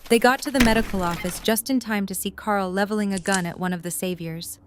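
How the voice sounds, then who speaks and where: A woman's voice: medium pitch, a little fast, slight background noise. A woman narrating a scripted story as the narrator of an audio drama.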